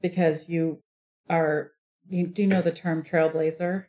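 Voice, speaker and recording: A woman's voice: low-pitched, level tone, unhurried, dark tone. A woman conducting a casual interview in an encouraging, affirming way, often rephrasing what she hears.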